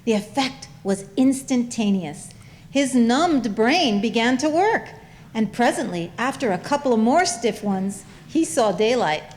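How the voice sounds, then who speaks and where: A woman's voice: fairly high pitch, lively intonation, unhurried, a little mellow. A woman reading scripted comic narration as the storyteller of a staged reading. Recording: roomy, echoing live recording.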